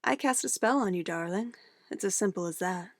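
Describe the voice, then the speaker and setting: A woman's voice: mid-range voice, brisk, dry recording, clean studio sound, close to an everyday voice. A woman playing an obsessive, controlling witch in an ASMR roleplay, a sweetly menacing lover who moves between coaxing endearments and firm commands. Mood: possessive, controlled.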